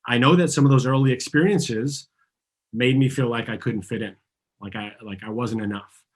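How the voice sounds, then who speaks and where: A man's voice: mid-range voice, steady tone, normal speed, breathy edge. A man born in Argentina and raised in the US, coaching a group through a guided tapping session, earnest and asking listeners to repeat his phrases. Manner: earnest, guiding, has listeners repeat after him.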